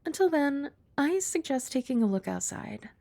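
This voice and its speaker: A young woman voicing a composed young maid who is also a childhood friend. She uses formal, polite speech with gentle, dry teasing, is soft-spoken, and sometimes drops to a whisper. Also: high-pitched, very animated, moderate pace, vocal fry, no room sound, full character voice. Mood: calm. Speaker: ASMR roleplay performer. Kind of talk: ASMR roleplay.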